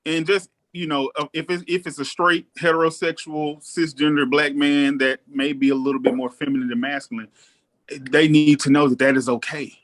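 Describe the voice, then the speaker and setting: A man in his thirties chatting casually on a podcast, thoughtful and relaxed, often pausing with ums. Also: on the high side. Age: 30s. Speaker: guest co-host.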